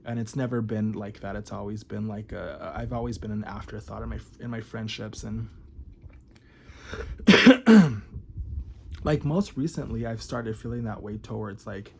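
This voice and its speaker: A man's voice: on the low side, expressive, a little slow, creaky, long pauses, slight background noise. A man in his thirties talking candidly to camera in a rambling, filler-heavy confessional vlog. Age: 30s. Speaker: vlogger.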